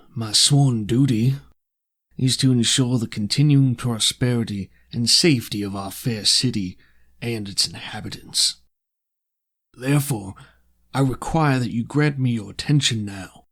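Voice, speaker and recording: A man voicing a stern reptilian arbiter in a tabletop game, with a deep, gravelly voice and a formal, measured, commanding delivery. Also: a bit bright. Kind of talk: tabletop game character speech.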